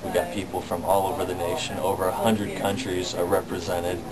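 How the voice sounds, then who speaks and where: A man's voice: deep voice, level tone, fairly quick, rough recording. A man gives a short spoken testimonial to camera. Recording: loud crowd chatter around speaker.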